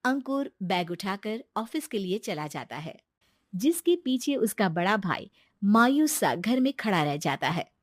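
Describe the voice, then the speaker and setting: A woman narrating an animated moral story in a calm, even storytelling manner. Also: medium pitch, a little slow, no room sound, studio quality.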